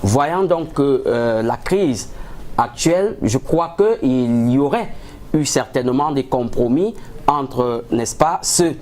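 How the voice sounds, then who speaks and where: Measured male analyst. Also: mid-range voice, animated, a little mellow. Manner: measured, hesitant, often inserting rhetorical tags. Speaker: political analyst, studio guest. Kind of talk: formal analytical interview answers.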